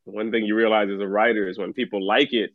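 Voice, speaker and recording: Middle-aged male writer from Trinidad, lowish voice, dry and slightly dull recording, easygoing storyteller. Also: fast, no echo. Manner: relaxed storytelling, laughs often. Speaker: author guest. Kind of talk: casual podcast conversation.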